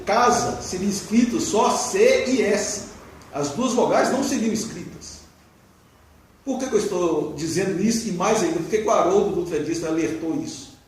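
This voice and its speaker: A Brazilian man lectures at a spiritist gathering, laying out his points in a measured, explanatory way and asking rhetorical questions. His voice sits high and is picked up from a distance. The hall around him rings with a very strong echo and a faint hum of room noise. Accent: Brazilian Portuguese. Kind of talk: religious lecture. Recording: very echoey hall, distant mic.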